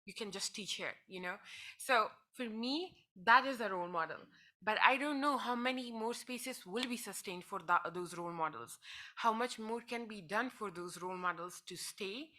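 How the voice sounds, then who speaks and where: A woman's voice: mid-range voice, moderate pace, sharp tone. A woman, speaking earnestly and emphatically as a panelist telling personal stories. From Afghanistan. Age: 20s. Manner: earnest, emphatic, repetitive phrasing. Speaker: panelist, women's rights advocate.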